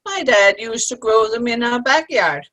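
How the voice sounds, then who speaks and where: Woman playing a wry older friend, high-pitched. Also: animated, moderate pace, a bit bright. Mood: easygoing. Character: wry, indulgent old girlfriend at afternoon tea. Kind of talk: virtual play reading, comedy. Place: video call.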